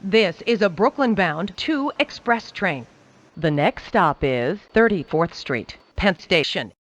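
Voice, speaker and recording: Lady doing recorded subway announcements, lowish voice that moves around a lot, super dry sound. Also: animated, no room sound. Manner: measured, formulaic announcer delivery. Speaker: transit announcer.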